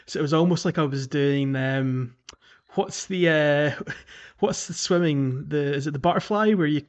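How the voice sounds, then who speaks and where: A man's voice: on the high side, measured pace. A man talking casually and enthusiastically about video games as a podcast host, in a chatty way. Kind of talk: casual video game chat.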